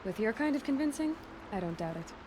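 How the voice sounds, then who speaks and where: An actress playing a determined, wry young heroine in a video game, earnest and dry. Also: female voice, a little high, lively intonation, a little fast, background noise, close to an everyday voice. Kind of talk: video game drama acting. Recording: clear stream and game ambience behind.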